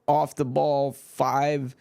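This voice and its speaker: A man's voice: medium pitch, level tone, extremely slow, creaky, warm tone, no echo. A man streaming a live football match and ranting frankly about his team's manager, swearing freely in casual chat.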